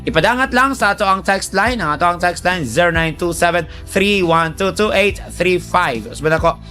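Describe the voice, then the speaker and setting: High-pitched man. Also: normal speed, bright, forceful tone, soft background music. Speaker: radio host giving advice to listeners. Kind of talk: conversational advice on a radio drama programme.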